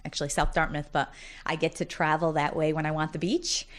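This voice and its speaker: Brief: woman in her forties, low and upbeat, a talkative college dean telling her story. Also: level tone, a little fast. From United States, Massachusetts. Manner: talkative, upbeat storyteller who often laughs while talking. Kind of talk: casual interview conversation.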